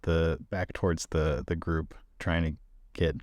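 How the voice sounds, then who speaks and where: A man's voice: very deep voice, little pitch movement, measured pace, a little mellow, no echo, studio quality. A man talking casually as a player in a tabletop game, describing what his character does.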